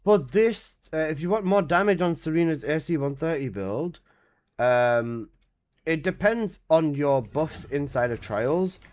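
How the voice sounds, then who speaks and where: A man in his twenties, casually chatting on a live game stream, reading out chat messages and protesting loudly, with casual swearing. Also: a little high, lively intonation, measured pace. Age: 20s.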